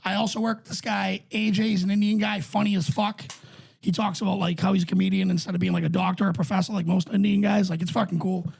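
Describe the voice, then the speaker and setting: A man's voice: high voice, little pitch movement, fast talker, creaky, darkish tone, slightly rough. A man who does stand-up, chatting as a guest in a laid-back way and swearing casually. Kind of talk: casual chat.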